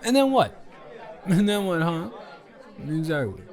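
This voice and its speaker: A man's voice: a little high, huge pitch swings, measured pace, a little mellow, some chatter behind. A man playing a tipsy, stubborn male roommate at a party, rambling and repeating himself in an improvised audio roleplay. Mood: chatty, rambling. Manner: rambling, tipsy, dragged-out and repetitive. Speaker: audio roleplay performer, channel creator.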